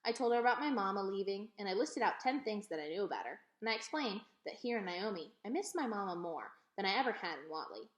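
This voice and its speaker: A woman reading a children's novel aloud in an expressive storytelling style. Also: medium pitch, quick pace, hardly any pauses. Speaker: read-aloud reader. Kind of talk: expressive children's book narration. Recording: echoey, roomy sound.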